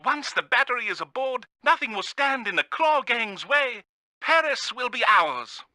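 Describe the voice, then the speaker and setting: Shrill pompous British bird villain. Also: male voice, very animated, moderate pace, sharp tone, dry recording, clean studio sound, full character voice. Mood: grandiose. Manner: pompous, theatrical, florid. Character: pompous, fussy villainous male bird genius.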